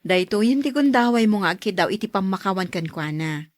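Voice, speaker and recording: Radio drama role: actress as a plain-spoken, reproachful sister, animated intonation, dry studio recording. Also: female voice, medium pitch, fairly quick, no room sound, natural voice. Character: frank sister, chiding her brother.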